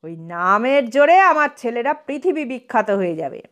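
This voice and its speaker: Woman playing a boastful, blustering father, high-voiced. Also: big pitch swings, moderate pace. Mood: proud. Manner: boastful, declamatory. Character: jolly, fat, proud middle-aged father, occasionally blustering.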